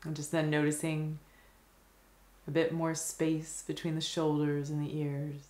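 Low, even-voiced woman guiding meditation. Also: roomy sound. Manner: gentle, unhurried, with long pauses. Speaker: guided meditation teacher. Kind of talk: slow, soothing guided meditation.

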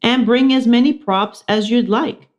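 A storybook reader voicing a kindly adult female schoolteacher, gentle and encouraging, pitched higher than the reader's own narrating voice. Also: a little slow, lo-fi sound, close to an everyday voice. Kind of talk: storybook character reading.

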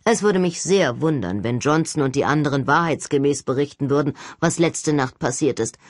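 A woman's voice: deep voice, lively intonation, moderate pace, no echo, studio quality. A woman voicing a confident, reasoning teenage boy who leads young agents in an audiobook.

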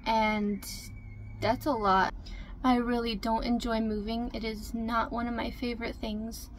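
A woman in her twenties talking casually to camera in a small camper, soft-spoken and chatty, with many ums as she reads from notes. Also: fairly high pitch, flat delivery, measured pace, slight background noise. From Canada. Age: 20s. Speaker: vlogger. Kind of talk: casual get-to-know-me talk to camera. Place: small room inside a camper. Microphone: camera in front at close range.

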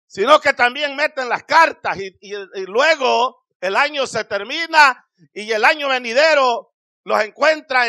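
A middle-aged man preaching a sermon in a small church hall, speaking into a handheld microphone with emphatic, drawn-out phrases that rise to shouts. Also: extremely high pitch. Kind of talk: Spanish-language Pentecostal sermon.

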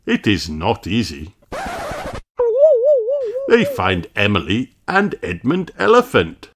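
A man's voice: lowish voice, huge pitch swings, slow pace, background noise. A man reading a children's storybook aloud as narrator. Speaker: storybook reader.

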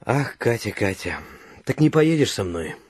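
An actor playing an earnest, generous gentleman in a radio play, with a husky voice. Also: fairly low pitch, big pitch swings, measured pace, dry recording, full character voice. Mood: gentle, concerned. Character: earnest, generous, well-off returned brother, middle-aged gentleman.

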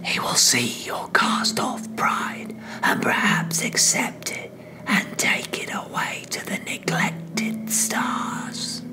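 A performer voicing a solemn, ominous prophet to a king in an audio play, in a breathy, incantatory whisper. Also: takes it slowly, over music, lightly acted. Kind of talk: dramatic audio play acting.

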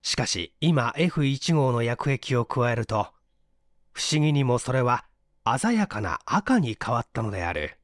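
A man's voice: medium pitch, very animated, unhurried, no room sound, studio quality. A man reading a mystery story aloud as scripted, dramatic narration. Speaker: audiobook narrator.

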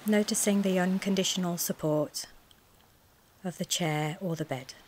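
A woman wellness coach leads a guided mindfulness body scan in a gentle, soothing, measured way, speaking in short phrases with pauses. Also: lowish voice, a little hiss or hum. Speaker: wellness coach guiding a relaxation session.

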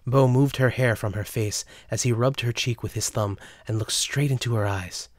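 A man's voice: medium pitch, moderate pace, no echo, clean studio sound. A man narrating a scripted story for an audio drama.

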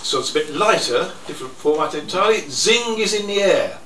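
An older man giving a slow, theatrical reading of his own poems at a live cafe event, chuckling between pieces, heard through a stand mic set off to the side. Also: high-pitched, lively intonation. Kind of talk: live poetry reading with asides. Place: small cafe room with an audience. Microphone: stand mic a little off to the side. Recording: roomy, distant camera audio with faint noise.

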